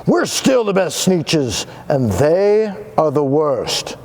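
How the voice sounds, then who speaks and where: A man's voice: fairly high pitch, very animated, takes it slowly, a little mellow, exaggerated voice. A man voicing haughty, bragging small storybook creatures. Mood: snooty. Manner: sniffy, haughty.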